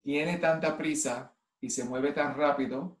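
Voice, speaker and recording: Halting man with a mixed Spanish accent. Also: a little high, fairly even intonation. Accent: mixed Spanish with a Puerto Rican base. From United States. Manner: halting, with many restarts and stray English words. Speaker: nurse and clinical informatics specialist. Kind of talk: online conference presentation. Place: room at home. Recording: roomy home room.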